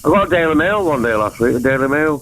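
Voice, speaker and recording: A man's voice: on the high side, animated, fast, fairly bright tone, low-quality recording. A man with a Mancunian accent rambling from topic to topic on a phone-in call. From England. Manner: rambling, jumps between topics. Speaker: caller.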